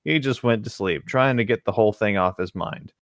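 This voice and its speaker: A man's voice: on the low side, fairly quick, dry recording, clean studio sound. A man co-hosting a mock story reading, narrating aloud and chatting casually about it. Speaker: co-host.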